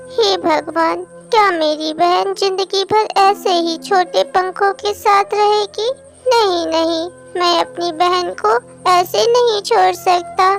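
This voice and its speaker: A woman's voice: extremely high pitch, creaky, full character voice. A woman voicing a small, earnest, kind young songbird in a children's cartoon. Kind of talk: children's cartoon dubbing in Hindi. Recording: music behind the voice.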